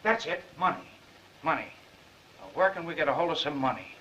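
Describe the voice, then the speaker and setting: A man's voice: fairly high pitch, lively intonation, fairly quick, sharp tone. An actor playing a brash, wisecracking newspaper reporter down on his luck in a 1930s Hollywood film. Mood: exuberant. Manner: cocky, wisecracking. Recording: muffled, noisy 1930s film soundtrack.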